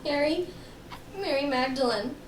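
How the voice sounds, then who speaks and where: A woman's voice: sits high, a little slow, roomy sound, recorded from a distance, slight background noise, low-quality recording. A woman playing an emotional, imploring female client of a detective in a radio play. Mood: guarded. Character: distraught, pleading young woman client of a detective.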